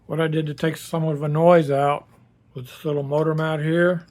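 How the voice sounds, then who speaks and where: Even-toned man casually demonstrating. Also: fairly high pitch, moderate pace. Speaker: hobbyist builder presenting a project.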